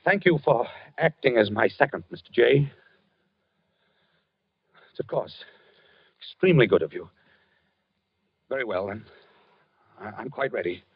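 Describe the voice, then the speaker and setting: A courteous, resigned gentleman murmuring dreamily in an old radio thriller. He speaks very slowly and haltingly, with a husky voice that swoops in pitch. The recording is muffled vintage audio. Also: expressive, no room sound. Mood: resigned, formal. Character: doomed gentleman sleep-talking through a duel, courteous and resigned. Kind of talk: old-time radio drama acting.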